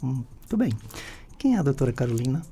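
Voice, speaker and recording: A man's voice: mid-range voice, sing-song, fast talker, dark tone, a little hiss or hum. A man, hosting a live radio podcast interview.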